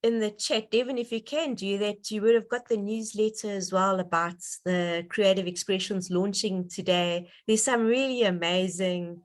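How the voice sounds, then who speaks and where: A woman's voice: medium pitch, steady tone, moderate pace, hardly any pauses. Woman hosting an online call. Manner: effusive, enthusiastic. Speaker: host of a donor conference call. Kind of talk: casual, appreciative hosting talk. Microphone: online conference call.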